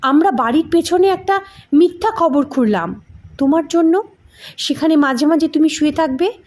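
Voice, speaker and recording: A woman's voice: high voice, normal speed, darkish tone, natural voice. A woman voicing a bossy, slyly mocking elder grandson in an audio story, scolding and mock-helpful by turns. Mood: slyly teasing.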